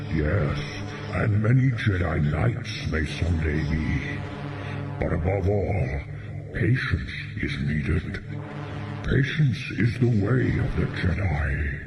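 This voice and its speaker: Ancient oracle spirit, very slow, gravelly, solemn, cryptic, sci-fi audio drama, loud music behind. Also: male voice, sits low, animated, full character voice. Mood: wise, grave. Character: ancient solemn Jedi holocron gatekeeper, a spirit.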